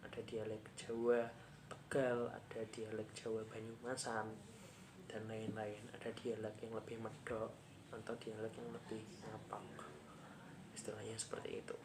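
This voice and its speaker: A man giving a measured lecture, listing points one by one. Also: medium pitch. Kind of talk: scripted lecture narration. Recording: audible background noise.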